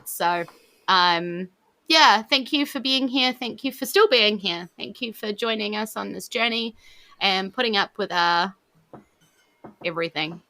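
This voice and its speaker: A woman's voice: mid-range voice, bright, forceful tone. A woman with a New Zealand accent hosting a casual podcast livestream, rambling and self-deprecating with a giggly manner. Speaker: podcast host.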